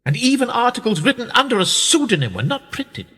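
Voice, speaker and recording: A British man in a radio play acting a wealthy, upper-class power broker who is smug, condescending and manipulative, speaking in a measured, pompous way. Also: extremely high pitch, big pitch swings, a bit bright, slightly rough, full character voice. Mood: gloating. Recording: reverberant hall-like echo.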